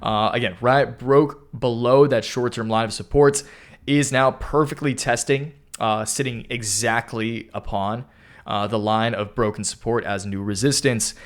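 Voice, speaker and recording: A young man hosting a stock market video, talking casually through charts with a hoarse voice, often clearing his throat. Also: mid-range voice, steady tone, measured pace. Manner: keeps clearing his throat, upbeat and hype-driven. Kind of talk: casual chart analysis talk.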